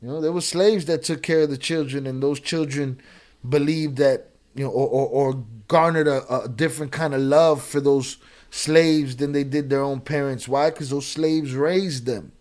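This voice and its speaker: A man commenting live on a video, sarcastic and animated, with many restarts. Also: medium pitch, moderate pace. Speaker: reaction streamer.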